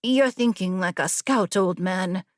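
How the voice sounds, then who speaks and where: A woman's voice: medium pitch, unhurried, no echo, studio quality, lightly acted. A woman playing a proud young noblewoman rider in a storybook, bold, challenging and adventurous. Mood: defiant. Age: young adult. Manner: bold, challenging, scornful of caution.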